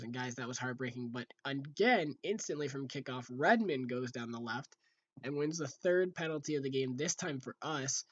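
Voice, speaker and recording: A young man with a hoarse, fading voice, chatting casually to viewers over football game footage from a room at home. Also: mid-range voice, highs cut off. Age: young adult. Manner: chatty, talks straight to viewers as "guys". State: hoarse, losing his voice. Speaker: gaming video creator. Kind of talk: casual gameplay commentary.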